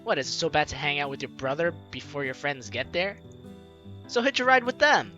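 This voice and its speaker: A man's voice: on the high side, expressive, fairly quick, sharp tone, highs cut off. A young man playfully reading a visual novel aloud and commenting on it as a let's play host, with game music behind him. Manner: playful, theatrical, jokey reactions.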